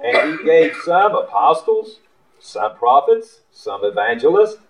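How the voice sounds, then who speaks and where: A man's voice: on the high side, sing-song, a little slow, crowd noise. A man in the congregation reading scripture aloud and calling out affirmations during a sermon. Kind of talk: call-and-response affirmations. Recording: roomy, distant, dull church recording.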